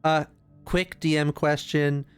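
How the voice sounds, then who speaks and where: A man's voice: fairly high pitch, flat delivery, slow, smooth, soft background music. A man playing a tabletop game, chatting casually in a playful, jokey way and swearing freely. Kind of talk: casual excited game chat.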